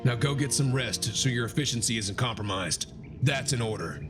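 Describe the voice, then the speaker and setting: A man's voice: mid-range voice, lively intonation, normal speed, close to an everyday voice. Man playing a stern, weary military commander. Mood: firm, commanding. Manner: grave, clipped, commanding. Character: stern, weary male battalion commander, self-blaming and dutiful. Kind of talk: animated motion-comic drama acting. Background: music.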